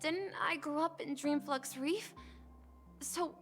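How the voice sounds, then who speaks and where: Game role: wistful little boy dreamer, high, unhurried, earnest, over music. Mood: puzzled wonder. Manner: earnest, hesitant, wondering. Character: wistful young bellboy boy, earnest dreamer of seafaring adventure. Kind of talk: video game voice acting. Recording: music under the voice.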